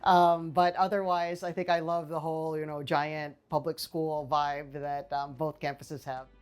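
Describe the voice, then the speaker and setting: Low-voiced woman professor from the Philippines. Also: flat delivery, unhurried, smooth, faint music behind. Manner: thoughtful, with frequent fillers and restarts. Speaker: political science professor. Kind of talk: faculty interview answers. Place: small office with bookshelves, indoors. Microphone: lapel mic.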